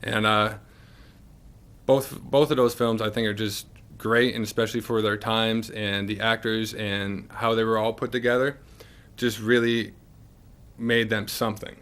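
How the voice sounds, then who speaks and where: A man's voice: fairly low pitch, measured pace, clean tone. A man co-hosting a public access TV show, chatting casually about sports and movies. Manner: relaxed, chatty. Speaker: co-host.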